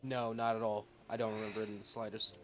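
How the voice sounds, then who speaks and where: A man's voice: lowish voice, little pitch movement, fast. A man giving casual let's play commentary as a gaming streamer, with a jokey, sarcastic manner. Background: game music.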